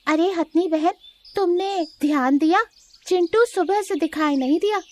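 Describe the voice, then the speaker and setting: A cheeky little cartoon rabbit, voiced by a woman, very high and squeaky. It talks in a bossy, needling way, over faint background noise. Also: unhurried. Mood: suspicious. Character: cheeky, bossy rabbit who puts others down.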